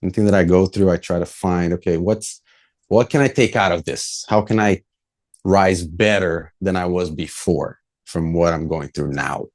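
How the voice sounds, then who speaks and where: A young man from Brazil with a very low, mellow voice. He talks as a guest in a relaxed, reflective way, in casual interview chat. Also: moderate pace. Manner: relaxed, reflective, laughs easily. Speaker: musician guest.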